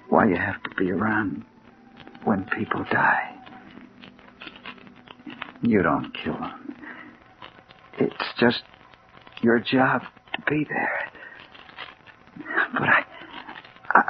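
Hurt scared man, overwrought old radio style, pitch all over, muffled hissy audio. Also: exaggerated voice. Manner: halting, gasping, overwrought. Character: terrified, gravely wounded man facing Death. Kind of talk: 1940s radio drama acting. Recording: dull, noisy 1940s radio recording.

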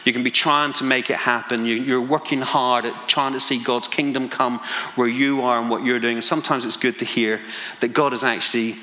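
Northern Irish male preacher, forties, bright voice, passionate, speaking in a reverberant hall. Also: medium pitch, fairly even intonation, a little fast. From Northern Ireland. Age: 40s. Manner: conversational, self-deprecating, building to passionate emphasis. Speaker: pastor. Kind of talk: sermon.

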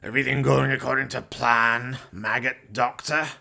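Guy as dark evil patron in a radio play, angry, sneering, drags words out, raised voice. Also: medium pitch, fairly even intonation, gravelly, voice pushed up. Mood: menacing. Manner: scornful, imperious, drawn-out. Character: dark, menacing patron, contemptuous, angry villain boss. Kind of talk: radio play villain acting.

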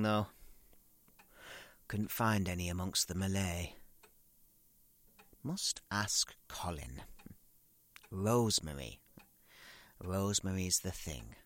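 A man's voice: lowish voice, a bit bright, exaggerated voice. A man playing a fussy, self-pitying grown son in an audio drama monologue, chattily grumbling and rambling in darkly comic asides. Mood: darkly cheerful.

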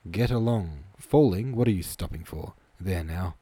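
A rough, bossy soldier, acted out by a man reading a storybook, barks hearty orders to his fellow haulers. The voice is mellow and dry. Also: low-pitched, normal speed, no echo. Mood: rough, impatient. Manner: barking orders, coarse and hearty. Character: rough, bossy male soldiers hauling a heavy load.

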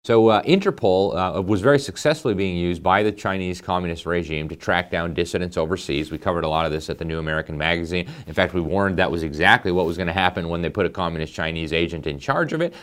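Deep-voiced man hosting political commentary. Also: fast, few pauses, fairly bright tone. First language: English. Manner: emphatic, conversational, with frequent fillers and asides. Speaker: host.

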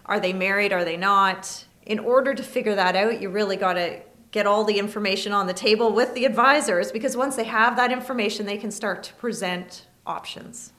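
Measured woman explaining law. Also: mid-range voice, a bit bright. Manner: measured, explains by listing points. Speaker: lawyer guest. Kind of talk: interview answers. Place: studio table.

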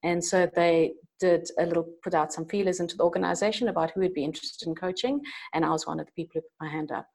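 A middle-aged South African woman with a lowish voice, speaking as a podcast guest. She reflects aloud on her career, pausing on ums and restarting her sentences as she thinks. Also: steady tone, creaky, darkish tone. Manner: thoughtful, with frequent ums and restarts. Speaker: internal coach and change manager, guest. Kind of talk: conversational interview answers.

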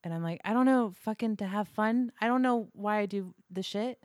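A woman with a deep voice guesting on a podcast, chatting casually with self-mocking humor and swearing. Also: fairly quick, darkish tone, no room sound, clean studio sound.

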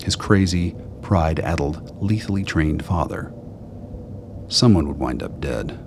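Extremely deep, gravelly male narrator. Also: expressive, measured pace. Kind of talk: scripted radio drama narration. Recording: clear background noise.

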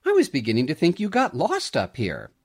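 Man acting warm storybook mom, cheerful, kindly, sing-song, pitched high, lively swoops. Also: a little fast. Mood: cheerful, gentle. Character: warm, cheerful young mother in a Christmas story.

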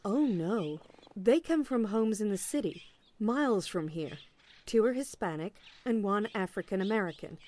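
An actress playing a polite, measured and officious government agent in an audio play. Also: female voice, mid-range voice, animated, a little mellow, natural voice. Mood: polite, official. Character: polite, formal woman government agent on doorstep duty.

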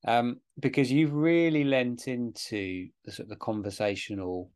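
A man hosting a casual interview, asking reflective questions with halting restarts. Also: medium pitch, fairly even intonation, a little mellow, clean tone. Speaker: interviewer, host.

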